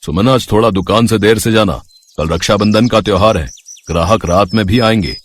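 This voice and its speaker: Man playing a brisk, stern shopkeeper. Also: fairly low pitch, slight background noise, natural voice. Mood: instructing. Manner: brisk, commanding. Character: stern, demanding male grocery shop owner.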